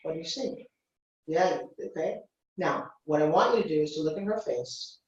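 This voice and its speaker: A woman's voice: low-pitched, lively intonation, moderate pace, a little mellow. Commanding woman coach in a very echoey room. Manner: commanding, interrupting, short blunt instructions. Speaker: business coach leading a workshop. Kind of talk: live hands-on coaching. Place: indoor reverberant room. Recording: echoey room, distant dull microphone.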